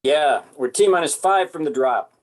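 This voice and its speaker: A man's voice: on the high side, lively intonation, normal speed, close to an everyday voice. A man reading the part of a dry, matter-of-fact colonel who leads a convict squad in an amateur table read.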